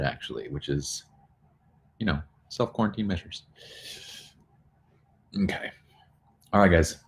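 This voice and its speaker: A man hosting a casual live discussion from his bedroom at home, explaining things earnestly in an informal way. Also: rumbling low. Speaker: photography studio owner hosting a livestream.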